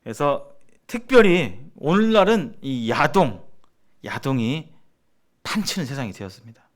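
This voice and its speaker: Male preacher, medium pitch with lively, wide swings, emphatic seminar teaching that repeats key phrases. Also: measured pace. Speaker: preacher leading a church seminar. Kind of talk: sermon-like seminar lecture.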